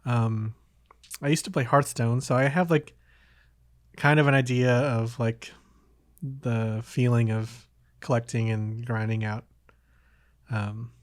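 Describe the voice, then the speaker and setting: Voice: mid-range voice, fairly even intonation, takes it slowly, pauses often, dry recording, clean studio sound. A podcast host chatting casually, with hesitant, tentative phrasing.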